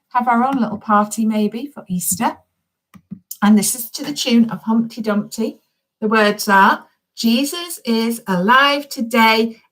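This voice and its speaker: A woman's voice: fairly high pitch, low-quality recording. A woman reading a children's story expressively, speaking directly and encouragingly to young listeners.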